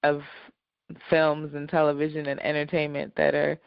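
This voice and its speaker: Dry-voiced woman with a gravelly creak. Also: deep voice, monotone, moderate pace, no room sound, lo-fi sound. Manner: dry delivery. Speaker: podcast co-host. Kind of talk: casual chat.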